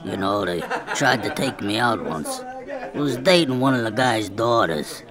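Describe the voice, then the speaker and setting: A man's voice: lowish voice, expressive, unhurried. A man acting as a cocky, talkative, tipsy young mob punk in a radio drama, insinuating and amused. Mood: tipsy, smug. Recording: busy crowd and music behind. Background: crowd and music.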